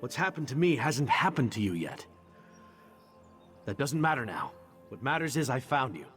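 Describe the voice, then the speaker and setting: A charming knightly champion from a fantasy game. His delivery is animated and conversational, with wry candour and some hesitation as he confides. Faint music plays behind him. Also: mid-range voice, moderate pace. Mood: hesitant, earnest. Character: charming, candid male knight champion of a goddess. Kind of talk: video game character dialogue.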